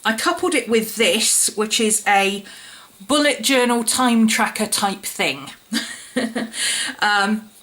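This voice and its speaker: Husky woman talking planners. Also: mid-range voice, expressive, takes it slowly, a bit bright. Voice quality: slightly croaky, husky. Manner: chatty, explaining step by step. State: hoarse from a cold. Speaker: planner YouTuber. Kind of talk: casual show-and-tell vlog.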